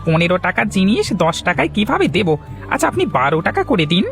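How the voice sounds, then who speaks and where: A man's voice: high voice, big pitch swings, a little fast, gravelly, a bit bright, full character voice. A man playing an honest village vegetable seller, pleading and deferential, in a Bengali cartoon. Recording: faint music behind the voice.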